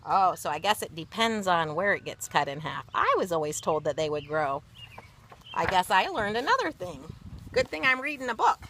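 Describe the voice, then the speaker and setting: Bright, animated woman reading to children. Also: medium pitch, moderate pace, creaky, a little hiss or hum. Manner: expressive, explanatory, chatting to young listeners. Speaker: read-aloud storyteller. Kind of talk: animated children's picture book read-aloud with chatty asides.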